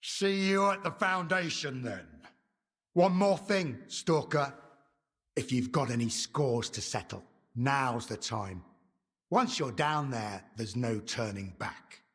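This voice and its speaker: An actor playing a calculating militia leader in a video game, with a deliberate, theatrical and commanding manner. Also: male voice, mid-range voice, fairly bright tone, slightly rough. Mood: stern. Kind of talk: dramatic video game dialogue.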